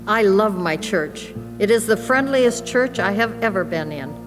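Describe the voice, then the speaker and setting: A woman's voice: medium pitch, animated, measured pace. A woman reading written church testimonials aloud as a member of a team. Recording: reverberant hall, loud music behind. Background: loud music.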